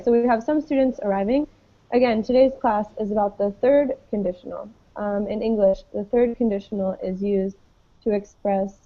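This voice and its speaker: A woman's voice: medium pitch, lo-fi sound. A woman teaching an English grammar class over a video call, patient and explaining with many fillers. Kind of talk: live online class, friendly explaining. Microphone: computer mic on a video call.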